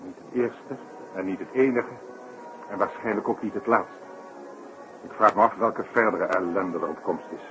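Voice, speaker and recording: Actor as deep-voiced, grave circus director. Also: male voice, moderate pace, long pauses, music in the background, lightly acted, low-quality recording. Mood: grave, exasperated. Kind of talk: 1960s Dutch radio drama acting.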